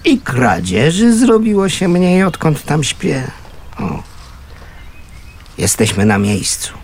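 An actor playing a scrappy but kindly homeless man in a radio play, colloquial, wry, gruff and gentle. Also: on the high side, sing-song, takes it slowly, exaggerated voice. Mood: content, wry.

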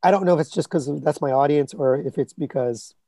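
A man's voice: on the high side, fast talker, mellow, no room sound, clean studio sound. A man in his thirties or forties with a scratchy voice, chatting casually on a podcast in a rambling way full of fillers and restarts. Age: 30s to 40s. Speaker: guest, collectibles reviewer.

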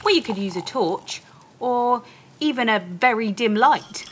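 A woman playing an eager, excitable library helpline worker in a family audio play, helpful and encouraging. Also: fairly high pitch, unhurried, slight background noise.

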